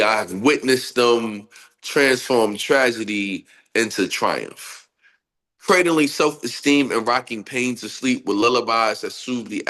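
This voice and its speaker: A man's voice: on the low side, rough recording. Congested, nasal-sounding male poet. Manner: expressive, laughs often, rhythmic poetic delivery. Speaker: poet guest. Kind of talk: casual interview chat and spoken-word poetry.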